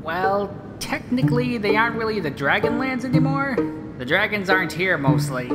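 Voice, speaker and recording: A woman playing an excitable, chipper pony weather reporter in a comedic audio drama, chatty and theatrical with nervous hesitations. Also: medium pitch, moderate pace, over loud music, close to an everyday voice. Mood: awkward, hesitant.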